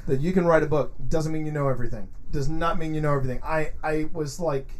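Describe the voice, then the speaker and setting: A man's voice: fairly high pitch, steady tone, fast, a little hiss or hum. A man chatting casually as a host, rambling and repeating phrases for emphasis. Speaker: podcast host and game streamer. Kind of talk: casual chat.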